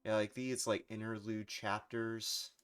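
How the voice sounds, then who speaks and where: A man's voice: fairly low pitch, flat delivery, a little slow, smooth. A man casually commentating on a game as he plays live, rambling and thinking aloud. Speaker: game streamer.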